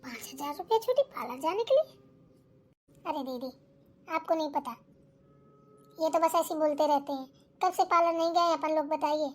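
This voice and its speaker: Casting: very high, girlish village housewife for a family cartoon , voiced by a woman, gossipy and full of complaints. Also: expressive, moderate pace, pauses often. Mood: complaining. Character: chatty village daughters-in-law and nagging mother-in-law, grumbling.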